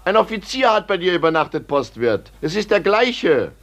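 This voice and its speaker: A man's voice: high voice, very animated, normal speed, fairly bright tone, full character voice. An actor playing a blunt, worried coachman to a count in a 1950s radio play. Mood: insistent.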